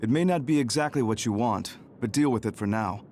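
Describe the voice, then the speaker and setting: A man's voice: medium pitch, a little fast, darkish tone, a little hiss or hum, close to an everyday voice. A man playing a matter-of-fact male official in a video game, firm as he explains orders. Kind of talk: video game cutscene voice acting.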